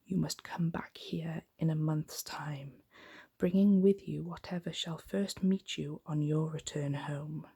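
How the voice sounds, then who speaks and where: A woman voicing a big, fierce, stern male beast in a slow, whispery bedtime storybook reading. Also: sits low, steady tone, mellow, full character voice. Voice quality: whispery, breathy.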